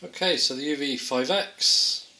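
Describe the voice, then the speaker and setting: A man's voice: mid-range voice, unhurried, very noisy. A man presenting a casual gadget field test in a hesitant, off-the-cuff way.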